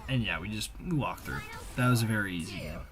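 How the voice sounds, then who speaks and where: A man's voice: lowish voice, expressive, fast talker, over music. A man giving casual, slangy, conversational voice-over commentary on video gameplay, as a gaming video creator.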